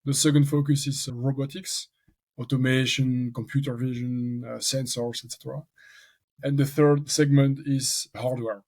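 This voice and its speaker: A man's voice: mid-range voice, level tone, normal speed, darkish tone. A man from French-speaking Belgium with a strong French accent, a talkative podcast guest who thinks aloud in restarts. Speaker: venture capital founder, guest. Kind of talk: casual podcast interview.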